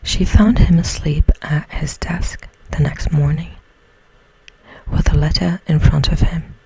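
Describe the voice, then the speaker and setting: A woman whispers a bedtime story in a hushed, breathy ASMR style, close to the mic. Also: deep voice, fairly even intonation, low-quality recording. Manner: slow, hushed, soothing delivery.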